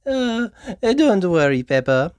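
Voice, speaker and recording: A woman's voice: mid-range voice, sing-song, a little mellow, no echo, clean studio sound, voice pushed up. A woman voicing an encouraging female gazelle teacher in a children's storybook, drawing out her words. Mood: reassuring. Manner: drawn-out, encouraging teacher talk.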